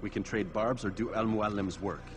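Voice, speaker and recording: A man's voice: lowish voice, normal speed, over music, lightly acted. A man playing a proud, curt assassin in a video game, terse and self-assured. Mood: curt, confident. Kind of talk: video game dramatic acting.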